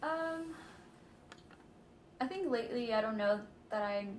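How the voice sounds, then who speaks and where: A woman's voice: fairly high pitch, animated, a little slow. A nineteen-year-old woman answering interview questions hesitantly, rambling with many fillers. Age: late teens. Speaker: interviewee, art student. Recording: roomy echo, faint noise.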